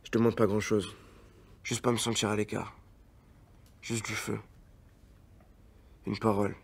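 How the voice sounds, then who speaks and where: A man's voice: fairly low pitch, flat delivery, a little slow, creaky, slightly rough, exaggerated voice. An actor playing a young man born in France, performing a slam poem with punchy rhythm and street slang. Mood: subdued. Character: angry, restless young man full of pent-up rage. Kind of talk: performed slam poetry, film drama.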